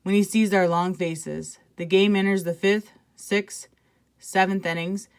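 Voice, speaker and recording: A woman's voice: medium pitch, fairly even intonation, measured pace, clear, resonant tone. A woman teacher reading a children's picture book aloud expressively, with casual asides to her listeners.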